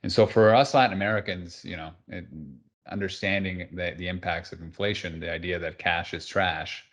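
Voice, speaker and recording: Deep-voiced guy from peru, joking and emphatic on a call. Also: fairly even intonation, moderate pace, a bit bright. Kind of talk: interview guest on a video call.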